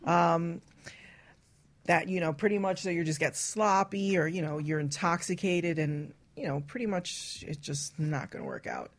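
A woman's voice: fairly low pitch, sing-song, moderate pace. A woman co-hosting a radio talk show, speaking frankly and casually in a group chat. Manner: frank, explicit.

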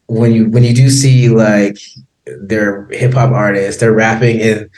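An American man chatting casually as an interview guest, laughing often and filling pauses with ums and likes. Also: lowish voice, flat delivery, darkish tone, roomy sound, poor audio. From United States. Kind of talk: casual conversation.

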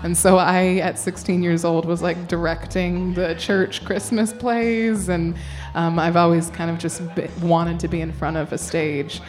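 A woman's voice: fairly low pitch, flat delivery, unhurried, few pauses, a little mellow, soft background music. A young woman, an actor guest, talking casually in a radio interview and laughing often. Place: storefront music bar.

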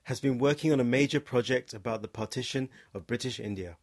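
A man of middle pitch reading a scripted podcast introduction. His delivery is smooth and even, with no stumbles. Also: brisk. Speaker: podcast narrator. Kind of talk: scripted narration.

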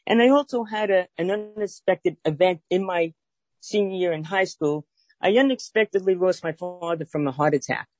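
A woman's voice: lowish voice, normal speed, dry recording. A woman in her sixties with a Brooklyn accent, telling her life story as an interview guest, earnest and plainspoken with some fillers. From Brooklyn, New York.

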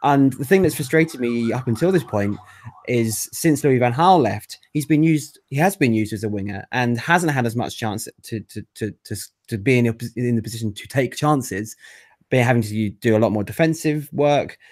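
A man's voice: mid-range voice, normal speed, a little mellow. A man co-hosting a casual football podcast, enthusiastic and opinionated, often stumbling over his words.

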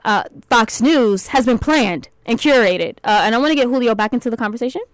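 A woman hosting a panel discussion, speaking in a measured way and steering the conversation. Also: on the high side, no echo. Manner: measured, moderating, with "uh" fillers. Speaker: host.

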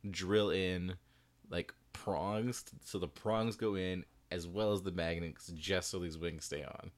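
A man's voice: low-pitched, fairly even intonation, measured pace. A man co-hosting a podcast, chatting casually and animatedly, laughing often as he talks, recording in a small bedroom. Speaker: podcast co-host. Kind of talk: casual chat about a hobby. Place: small bedroom with the door shut.

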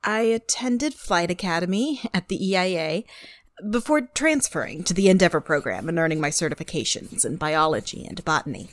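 An actress playing an earnest young woman applying to a space program in an audio drama, with formal, measured answers. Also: lowish voice, animated, dry recording, lightly acted.

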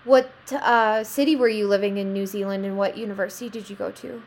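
A woman's voice: medium pitch, fairly even intonation, fairly quick. A woman hosting a podcast, speaking in a casual, conversational interview style. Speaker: podcast host.

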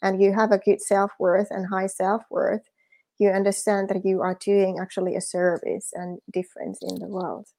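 A woman's voice: mid-range voice, level tone, normal speed, gravelly, warm tone. A woman from Finland with a Finnish accent, a guest coach talking earnestly in a casual interview over a video call, often laughing and repeating words as she thinks. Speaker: guest, spiritual business coach.

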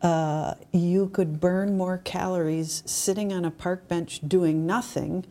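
A woman's voice: fairly low pitch, fairly even intonation, slow pace, mellow. A woman speaking conversationally as a podcast host in a discussion.

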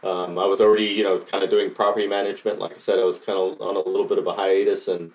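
A middle-aged man from Costa Rica with a deep, low-pitched voice. He answers interview questions in a halting way, full of fillers and restarts as he gathers his thoughts. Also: flat delivery, darkish tone, dull audio. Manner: hesitant, many fillers and restarts. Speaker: coding bootcamp graduate.

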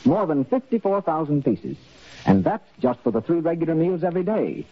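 A man's voice: a little high, sing-song, brisk. A man announcing from a script in 1930s radio style. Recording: muffled 1938 radio broadcast.

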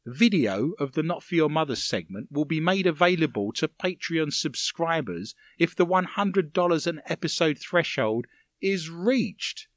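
British male host, animated and slightly high-pitched, for comic banter and listener messages in a dry studio. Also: measured pace, dry recording. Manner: teasing, dryly jokey. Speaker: radio show host.

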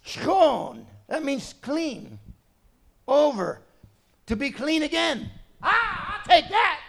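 A middle-aged man preaching a sermon in a conversational way, dropping to quiet asides and rising to loud, emphatic points. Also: very high-pitched, sing-song, slow, gravelly. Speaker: pastor.

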